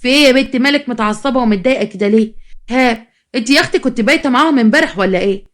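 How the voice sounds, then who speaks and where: Woman doing a brash, fast-talking Egyptian market mother. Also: on the high side, natural voice. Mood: challenging. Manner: confrontational, sarcastic, street-tough. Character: bold brash loud mother of the bride, market stallholder. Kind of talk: dramatized storytelling.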